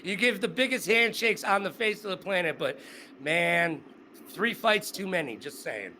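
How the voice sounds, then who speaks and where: A high, bright male voice, the host of a casual interview, upbeat and joking in his questions. A faint hiss sits under it. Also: lively intonation, normal speed. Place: Las Vegas.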